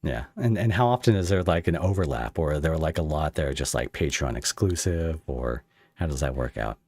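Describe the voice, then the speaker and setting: A man hosting a casual podcast interview, asking halting, rambling questions that double back on themselves. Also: rumbling low, lively intonation, a little mellow, husky.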